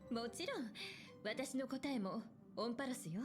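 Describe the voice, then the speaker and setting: A woman voicing a composed, reassuring woman leader in an anime video game, with a poised and gentle delivery. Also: on the high side, animated, quick pace, close to an everyday voice. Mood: calm, reassuring. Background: game music.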